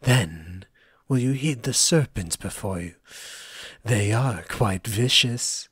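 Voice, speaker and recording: A man voicing a proud, needy, menacing ancient forest entity in an ASMR roleplay, breathy and husky, with theatrical, formal diction. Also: on the low side, animated, slow pace, a bit bright, dry recording, studio quality, full character voice. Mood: haughty, curious. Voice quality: breathy, husky, often dropping toward a whisper.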